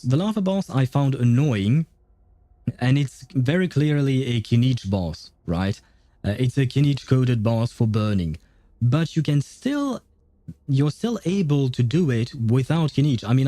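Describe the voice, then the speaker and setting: A man's voice: mid-range voice, mellow, no room sound. A man chatting casually on a livestream in a rambling, easygoing, chuckling way. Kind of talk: casual livestream chat about games and life.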